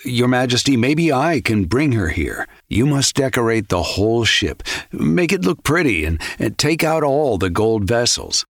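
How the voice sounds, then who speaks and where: A man's voice: mid-range voice, sing-song, a little slow, no room sound, studio quality. An actor playing a loyal, cautious male servant in a children's story, respectful and a little hesitant. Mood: helpful, persuasive. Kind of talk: children's audio story acting.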